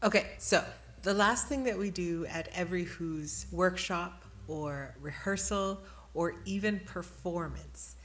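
A woman's voice: fairly low pitch, measured pace, a bit bright. A woman narrating in an explanatory podcast style, as a workshop leader. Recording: faint background noise.

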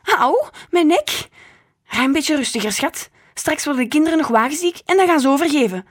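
A woman's voice: high voice, lively intonation, brisk, voice pushed up. A woman voicing a fussy, complaining old grandmother in an audiobook, grumbling and indignant. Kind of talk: audiobook reading.

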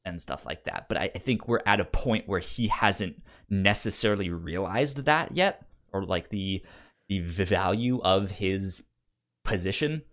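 A podcast co-host in a casual comics discussion, halting and often repeating or stumbling over words. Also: sits low, animated, a bit bright.

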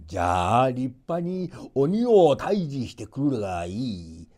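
A man's voice: medium pitch, sing-song, slow, warm tone. A man voicing a proud, hearty old grandfather in a storybook folk tale. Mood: encouraging.

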